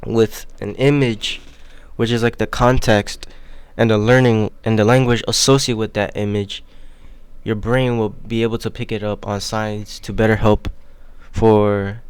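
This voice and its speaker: A young man talks through an idea in a casual vlog. His voice is fairly low and smooth, captured dry and close, and he rambles haltingly in slangy phrases as he thinks aloud. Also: flat delivery, dry recording. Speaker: video host. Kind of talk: casual unscripted explainer vlog.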